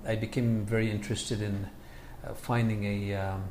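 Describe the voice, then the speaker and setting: A man from Egypt, a scholar of Islam, answering interview questions with many pauses and fillers. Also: on the low side, flat delivery, darkish tone, echoey room, a little hiss or hum. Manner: halting, many fillers and restarts.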